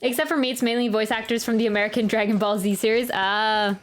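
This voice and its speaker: A woman with a slightly high voice gives a casual gameplay reaction. She rambles, stammers and thinks aloud, with faint game music behind her. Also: fairly even intonation, quick pace. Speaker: game streamer.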